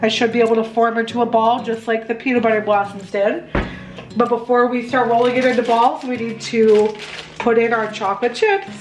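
A woman's voice: on the high side, steady tone, fairly quick, soft background music. A young adult American woman talking through a recipe in a chatty, step-by-step home tutorial style. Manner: chatty, instructive. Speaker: home baking vlogger. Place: home kitchen, indoors. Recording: roomy kitchen echo.

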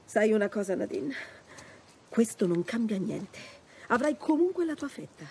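A wry, animated adventurer woman. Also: fairly high pitch, moderate pace, vocal fry, a little hiss or hum. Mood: defensive, persuading. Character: wry, tough adventurer woman in her thirties or forties, sarcastic. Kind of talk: dramatic video game dialogue.

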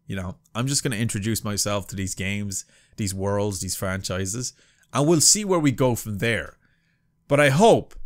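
A man's voice: medium pitch, a bit bright, smooth. A man in his twenties chatting casually and enthusiastically to his viewers as a gaming video host, recorded up close in a small room. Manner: chatty, enthusiastic, addresses viewers as lads and dudes.

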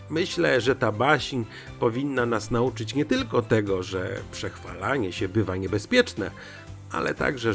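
A man narrating a scripted children's fairy tale in an expressive, dramatic way. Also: mid-range voice. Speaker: storyteller. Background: music.

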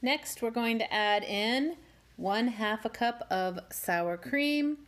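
A woman hosting a home cooking channel, walking through a cake recipe step by step with gently wry asides. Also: on the high side, takes it slowly. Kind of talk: calm step-by-step baking instruction. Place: home kitchen.

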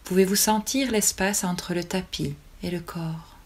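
Female meditation guide: soothing, very unhurried delivery in short phrases, on the lower side. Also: breathy edge. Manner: calm, soothing, with long pauses between short phrases. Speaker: yoga nidra teacher.

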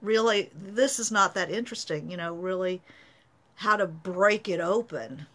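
A woman's voice: fairly low pitch, a little slow, a bit bright. A middle-aged woman, a novelist, talking casually on a live stream from her home study, thoughtful with many fillers. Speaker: novelist answering writing questions.

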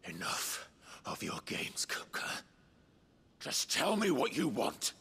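A man's voice: mid-range voice, huge pitch swings, takes it slowly, vocal fry, pushed and bright, slight background noise, exaggerated voice. An actor playing a grim, determined young male hero in a video game cutscene, speaking in a curt, hard-edged way.

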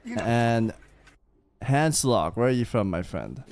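A man's voice: mid-range voice, slow pace. A young man streaming a game, chatting and teasing his group in casual voice chat, speaking close to a studio mic at home. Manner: playful, teasing, jumpy and animated. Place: indoor home streaming room. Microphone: studio mic on a boom arm, close.

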